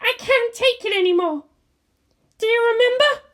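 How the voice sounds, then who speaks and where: A woman voicing an anguished, defiant teenage girl pilot in an audio story, bitter and forceful, her voice breaking with emotion. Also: extremely high pitch, moderate pace, pitched above the performer's own voice. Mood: strained despair. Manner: forceful, emotional, pleading outbursts. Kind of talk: dramatic fan fiction reading.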